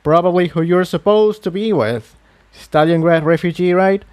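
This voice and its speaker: A man voicing a gruff, scornful male pegasus pony instructor in a fantasy story reading. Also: high voice, normal speed, a little mellow, dry recording, voice pushed up, natural voice. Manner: curt, scornful. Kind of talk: fan fiction story reading.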